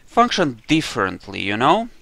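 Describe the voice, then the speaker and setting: A man's voice: mid-range voice, wildly swooping pitch, moderate pace, creaky, pushed and bright, no echo. A man giving casual, conversational commentary as he plays a video game, with a sweary streak. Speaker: gamer, playthrough host.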